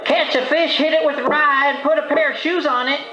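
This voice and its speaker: A narrator voicing a loud, brassy diner waitress calling out orders in a storybook. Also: sits high, fairly even intonation, fairly quick, dull audio, crowd noise, pitched above the performer's own voice, lightly acted. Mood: brassy shouting. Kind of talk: children's storybook character voice. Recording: loud music behind, roomy sound.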